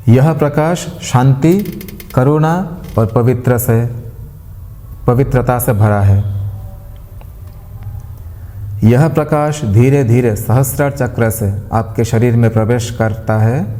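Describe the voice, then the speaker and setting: A man guiding a meditation as a yoga teacher, giving gentle instructions in unhurried phrases with pauses. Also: medium pitch. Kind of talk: guided meditation with mantra chanting. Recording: long hall-like reverb.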